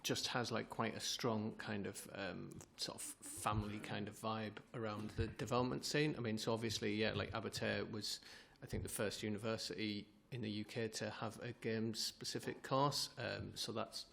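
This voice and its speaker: Gravelly-voiced man with casual fillers. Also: lowish voice, steady tone, moderate pace, hardly any pauses, fairly bright tone. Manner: casual, many fillers like "like" and "kind of". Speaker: committee witness.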